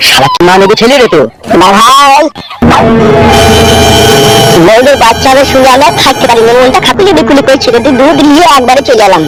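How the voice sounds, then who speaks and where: High-pitched, flabbergasted cartoon father, a man acting. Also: brisk, long pauses, over loud music, poor audio. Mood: exasperated. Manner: exclamatory, exasperated. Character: flabbergasted, grumbling, foul-mouthed cartoon father. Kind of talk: comedy cartoon dubbing.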